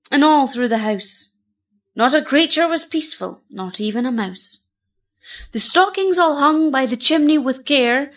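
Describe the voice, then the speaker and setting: A woman narrating a storybook poem in a theatrical, rhythmic and measured manner. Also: on the high side.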